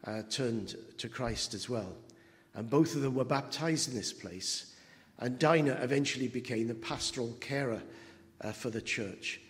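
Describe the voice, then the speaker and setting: A man, preaching an anniversary sermon as pastor, halting with fillers and building to emphatic moments. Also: mid-range voice. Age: 70s. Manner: slow, halting with many fillers, rising to emphatic. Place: church hall. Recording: long church reverb.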